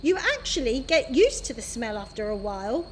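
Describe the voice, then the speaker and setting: A woman's voice: a little high, big pitch swings, moderate pace, slight background noise. A woman voicing a spoiled, blunt little sister. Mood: excited.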